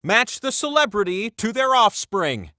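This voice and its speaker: Grandiose, swooping high-pitched game-show wheel. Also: male voice, a little slow, no room sound, studio quality, exaggerated voice. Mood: showy announcing. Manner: grandiose with wry deadpan asides. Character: giant all-knowing game-show wheel, boastful, theatrical male godlike being. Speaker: quiz game host. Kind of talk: theatrical game-show hosting.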